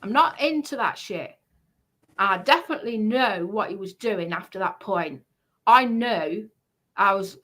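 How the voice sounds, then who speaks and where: A woman's voice: mid-range voice, fairly bright tone. A woman giving a heated personal account on a livestream, speaking in an indignant, emphatic way and repeating herself for stress.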